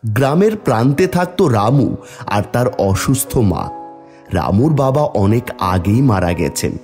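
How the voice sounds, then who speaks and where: A man narrating a scripted fairy tale as a storyteller for an animated video. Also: mid-range voice, measured pace, soft background music.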